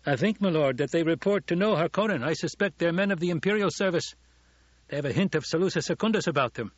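Loyal, deferential veteran soldier acted by a man, emphatic and earnest, raspy raised voice, dry audiobook sound. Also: a little high, animated, fast talker, dry recording, highs cut off, voice pushed up. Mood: wary, reporting. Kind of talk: audiobook character dialogue.

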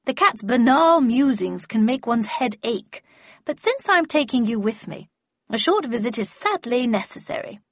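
A woman's voice: on the high side, a bit bright, no echo, muffled recording, full character voice. A woman voicing an imperious, irritable older woman mentor with a brusque, scornful, commanding manner in an audiobook.

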